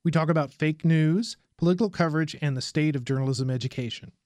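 A man's voice: a little high, fast, a little mellow, no echo. A man, hosting a podcast in a conversational interviewing style. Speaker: podcast host. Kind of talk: conversational interviewing and show outro.

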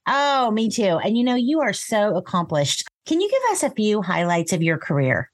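A woman's voice: mid-range voice, very animated, moderate pace. A woman hosting a podcast interview, welcoming and enthusiastic with her guest. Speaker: podcast host.

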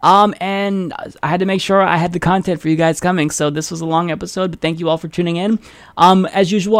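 A young man hosting a casual political podcast interview, earnest and rambling, his voice going hoarse. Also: sits high. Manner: earnest, rambling, laid-back. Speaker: podcast host.

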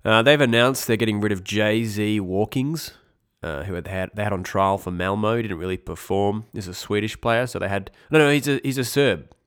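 A young man with a very low voice talks through a casual podcast. He sounds stuffed up from a cold. He rambles, backtracks and drops dry little asides. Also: brisk, hardly any pauses, clear, resonant tone. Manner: rambling, self-correcting, wry asides. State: congested with a cold. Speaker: podcast host. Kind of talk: casual esports news podcast.

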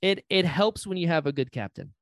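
A soft-spoken man chatting casually as a podcast host. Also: fairly high pitch, animated, fairly quick, no room sound, studio quality. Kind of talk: casual chat.

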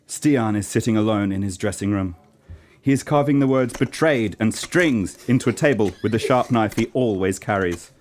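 A man's voice: on the low side, moderate pace, darkish tone. A man reading scripted stage directions as the narrator of a radio play. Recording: clear background noise.

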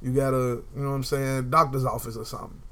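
A man's voice: medium pitch, little pitch movement, fast talker, sharp tone. A young man in casual conversation, talking emphatically and repeating phrases to drive a point home. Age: young adult.

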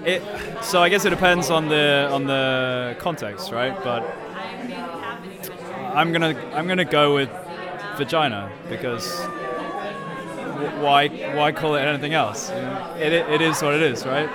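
Guy being interviewed, slightly high voice, really takes his time, casual. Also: a little slow. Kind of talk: casual chat. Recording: busy crowd in large indoor space.

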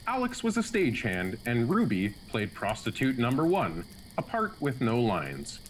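A man's voice: mid-range voice, huge pitch swings, normal speed, slight background noise. A man playing the narrator of a student radio comedy, telling the story in a dry way and wanting the spotlight. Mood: narrating. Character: smug narrator who wants the spotlight.

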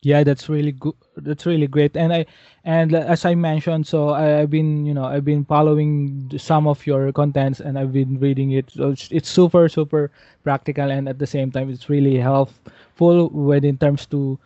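A male podcast host chats in a loose, rambling way. His voice is fairly high and very mellow, recorded close and dry. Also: level tone, normal speed, dry recording, dull audio. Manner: rambling, repeats "you know". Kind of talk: casual interview chat.

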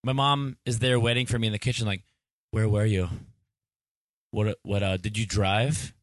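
A man in his twenties co-hosting a podcast, laid-back and deadpan, swearing often as he banters. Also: lowish voice, moderate pace, no room sound, clean studio sound. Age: 20s. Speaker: podcast co-host. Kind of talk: casual banter.

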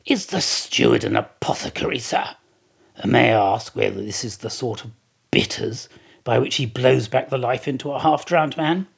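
A sarcastic, blustering whaling officer from a classic sea novel, acted out by a male reader. The voice is gravelly and husky, emphatic and theatrical, full of mocking indignation. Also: mid-range voice, lively intonation, moderate pace. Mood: indignant. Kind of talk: dramatised classic novel reading.

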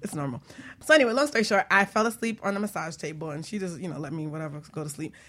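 Animated woman chatting casually on air. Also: sits low, very fast. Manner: animated, informal, teasing banter. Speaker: talk show host. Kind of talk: casual personal storytelling and chat.

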